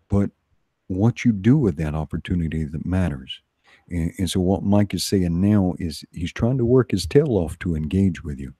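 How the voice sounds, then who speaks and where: Deep, mellow-voiced man, emphatic talker. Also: slightly rough, no room sound, clean studio sound. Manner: emphatic, repeats phrases. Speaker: co-host. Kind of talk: casual livestream pep talk.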